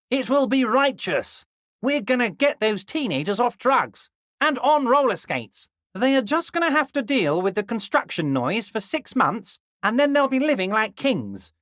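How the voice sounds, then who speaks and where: A man voicing a scheming middle-aged union boss in a video game, folksy and wheedling, friendly on the surface with a quiet threat underneath. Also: high voice, dry recording, studio quality. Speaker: game character voice.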